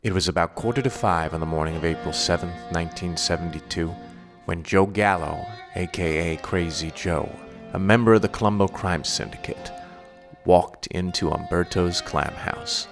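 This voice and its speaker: A man's voice: low voice, normal speed, music in the background. A man playing the grave narrator of a radio crime play.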